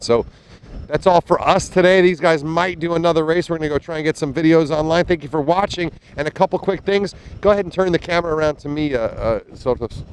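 Man, thirties, fairly high voice, chatty race commentary, handheld mic outdoors on a boat, faint wind and engine noise. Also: poor audio. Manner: chatty, enthusiastic, many fillers and restarts. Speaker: sailing commentator. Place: small motorboat on open water, outdoors. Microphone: handheld mic with fuzzy windscreen.

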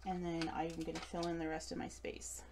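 Chatty woman with a gravelly edge. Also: fairly low pitch, completely flat delivery, a little fast, a little mellow, slight background noise. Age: late 30s. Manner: chatty, giggly, self-deprecating asides. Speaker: craft store demonstrator. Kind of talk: live craft demonstration. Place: indoor craft table. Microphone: overhead camera above table.

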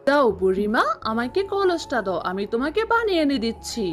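A woman voicing a gentle, patient girl, the elder sister in a cartoon, speaking softly and earnestly. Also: high-pitched, sing-song, normal speed, faint music behind. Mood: soothing.

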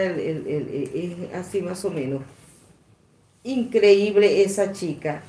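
Live-stream host: woman in her sixties, fairly low voice, halting chatty delivery, roomy sound. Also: mellow. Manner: rambling, repetitive, halting. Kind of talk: casual live-stream chat, reading out comments. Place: small indoor room at a table. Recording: echoey room, some background noise.

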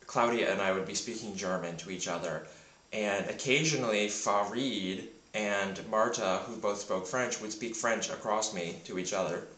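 A man chatting casually into a handheld camera in a rambling, self-deprecating way. His voice is deep and sounds a bit sick in the morning. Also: normal speed, a bit bright, roomy sound, poor audio. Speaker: vlogger. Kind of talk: casual vlog monologue. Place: home in Berlin. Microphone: handheld camera mic.

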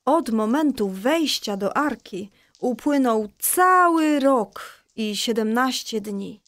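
A woman playing a patient mother who explains a Bible story to children in a children's audio drama, reassuring and clear. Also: fairly high pitch, expressive, slow, fairly bright tone, no room sound, studio quality. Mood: patient, explaining.

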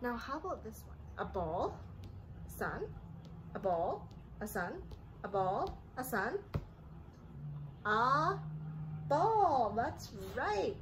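A woman's voice: on the high side, big pitch swings, very slow, pauses often, noisy background. A woman in her thirties in a sing-song children's story-time style, asking simple questions as she teaches. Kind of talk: children's read-aloud and teaching. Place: living room indoors.

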